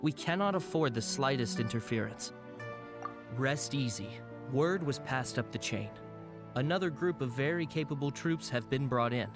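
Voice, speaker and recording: A man voicing a dutiful city guard in a video game, speaking in a measured, formal, reassuring way. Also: medium pitch, lively intonation, close to an everyday voice. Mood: firm, watchful. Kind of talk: English video game voice acting. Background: game music.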